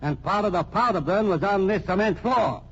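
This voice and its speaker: A tough American police captain in an old radio crime drama. His voice sits a bit high, slangy and blunt, with a short temper. The sound is dull with background noise. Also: expressive, normal speed. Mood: accusing, sure. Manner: hard-boiled, slangy, accusing.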